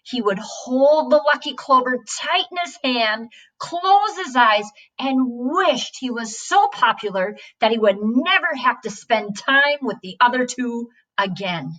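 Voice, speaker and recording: A woman with a fairly high voice reads a story aloud, a little far from the mic. Her phrasing is deliberate and expressive, in the manner of read-aloud storytelling. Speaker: story reader. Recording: distant from the microphone.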